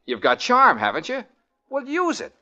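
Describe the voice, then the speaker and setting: A wisecracking private eye. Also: male voice, very high-pitched, huge pitch swings, moderate pace, exaggerated voice. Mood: flustered. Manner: breezy, wisecracking, brash. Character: wisecracking young male private detective, brash. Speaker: private detective. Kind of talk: 1940s radio acting. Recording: dull 1940s radio broadcast.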